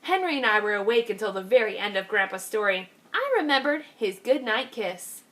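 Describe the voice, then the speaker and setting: A woman, a kindergarten teacher, reading a picture book aloud with expressive delivery, slowing down to stress key phrases. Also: medium pitch.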